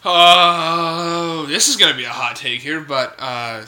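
A young man banters in a joking, boastful way on a casual podcast. His voice is very bright and sharp and slightly high, and it carries a roomy echo. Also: steady tone, slow pace. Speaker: podcast co-host. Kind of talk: casual chat.

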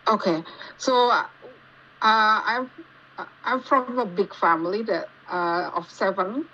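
A woman's voice: mid-range voice, bright, forceful tone. Indonesian-accented woman on a dull, lo-fi recording. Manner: halting, searching for words in non-native English. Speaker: podcast guest, geologist. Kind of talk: casual interview answers. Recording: dull lo-fi call with faint noise.

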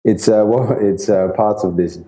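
A middle-aged man from Italy who grew up in England, a humanitarian worker answering interview questions thoughtfully with many pauses and restarts. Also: lowish voice, steady tone, warm tone, poor audio. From Italy, raised in England. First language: Italian.

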